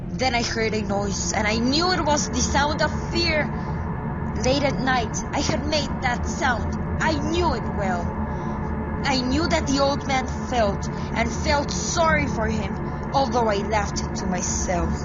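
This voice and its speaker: Woman doing a crazed nervous killer confessing, horror monologue, kinda high voice, super dramatic, muffled lofi audio w loud music. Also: natural voice. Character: nervous mad murderer insisting on sanity. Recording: dull lo-fi recording, loud music over voice. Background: prominent background music.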